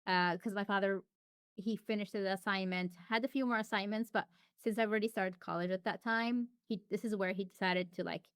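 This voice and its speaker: A woman's voice: medium pitch, steady tone, fairly quick, smooth, no room sound, studio quality. A woman as an interview guest, talking casually and reflectively with frequent restarts and fillers. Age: adult.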